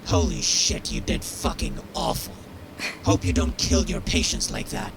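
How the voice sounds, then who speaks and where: An actor playing a blunt, mocking, foul-mouthed military sergeant briefing a new officer in a video game. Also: male voice, on the high side, very animated, unhurried, gravelly, heavy background noise, exaggerated voice. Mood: scornful. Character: gruff, foul-mouthed, callous male military medical sergeant. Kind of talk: game character dialogue.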